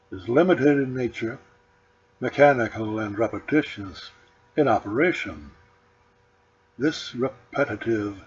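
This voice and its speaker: Slow, solemn man reading aloud. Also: medium pitch. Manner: deliberate, solemn, measured phrasing with pauses. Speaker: author reading his own book. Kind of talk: scripted audiobook narration of a spiritual book. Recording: dull lo-fi recording with background noise.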